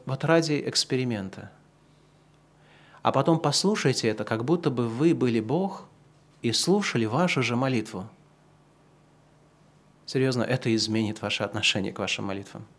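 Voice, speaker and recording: A man preaches in a middle-range voice, and he draws out his key phrases slowly and loudly. Between those high points he drops into a softer, chatty tone and talks straight to his listeners. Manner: swings from slow, loud emphatic preaching to quieter conversational asides. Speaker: pastor. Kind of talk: sermon.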